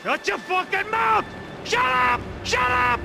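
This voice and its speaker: An actor playing a grieving, vengeful mobster father, shouting in foul-mouthed rage with a strained, gravelly voice. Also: very high voice, animated, slow, full character voice. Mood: furious, grieving. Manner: shouting, raging. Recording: loud music behind the voice.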